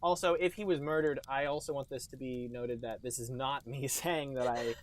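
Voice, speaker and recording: A man's voice: medium pitch, steady tone, normal speed. A man hosting a podcast in casual, sarcastic comedic banter, joking in a hammy way. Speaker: podcast host.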